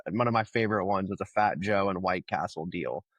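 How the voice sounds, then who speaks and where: A man in his thirties from Oklahoma, a podcast guest, telling his background in a relaxed, rambling way with plenty of fillers. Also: deep voice, fairly quick, no echo. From Oklahoma, USA. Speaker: guest, crypto founder. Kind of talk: casual conversational interview.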